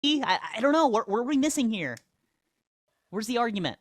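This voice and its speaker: A man's voice: very high-pitched, very animated, fairly quick, a bit bright, no echo. A man hosting a livestream, debating a caller with pressing, pointed questions. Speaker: livestream host.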